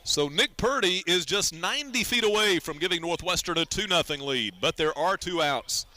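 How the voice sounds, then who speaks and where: An American man calling a baseball game as a radio play-by-play announcer, in a measured, descriptive way. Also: high voice, animated, pushed and bright. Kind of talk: live sports radio commentary.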